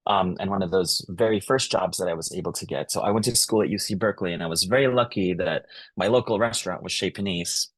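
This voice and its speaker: A man's voice: low-pitched, fast, a bit bright, slightly rough, no room sound, poor audio. A man from the Philippines, a wine professional, telling his story in a podcast interview with lots of ums and restarts. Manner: hesitant, lots of ums and uhs. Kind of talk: casual interview answers.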